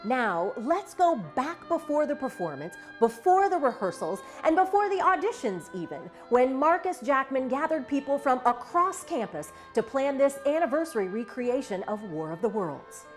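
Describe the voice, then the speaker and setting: A woman's voice: high voice, very animated, moderate pace, few pauses, soft background music. A woman reading scripted documentary narration as the program's narrator.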